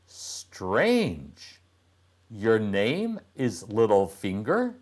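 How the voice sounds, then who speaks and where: A man's voice: lowish voice, huge pitch swings, very slow, a little mellow, full character voice. A man voicing a curious, easily annoyed young boy in a children's storybook read-aloud.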